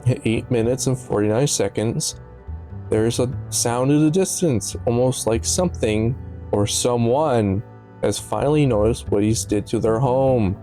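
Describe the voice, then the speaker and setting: A man's voice: mid-range voice, a little slow, warm tone. A man giving casual, analytical video commentary in a musing, slightly stumbling way, with offhand asides. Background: background music.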